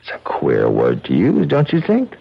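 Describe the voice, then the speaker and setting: An American actor playing a farmer husband in a radio drama, soothing yet sceptical, speaking in a halting, folksy way. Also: male voice, medium pitch, wildly swooping pitch, gravelly, breathy edge, exaggerated voice. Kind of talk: old radio drama acting. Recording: dull, muffled old radio recording.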